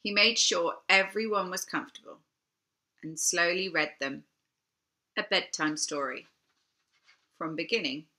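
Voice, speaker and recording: An older woman reading a children's picture book aloud, theatrically, with dramatic pauses and emphasis. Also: on the low side, pushed and bright. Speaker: storybook reader.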